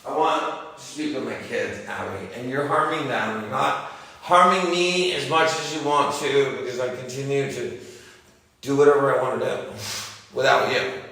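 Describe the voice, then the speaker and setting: A man's voice: medium pitch, normal speed, creaky, bright, forceful tone, reverberant, low-quality recording. A man in a heated personal phone argument, speaking in a confrontational, insistent way and often repeating himself. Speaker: parent on a phone call.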